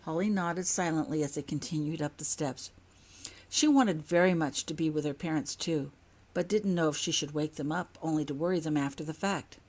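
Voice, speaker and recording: A woman's voice: low voice, moderate pace, darkish tone. A woman narrating a scripted story as an audiobook, reading her own fiction.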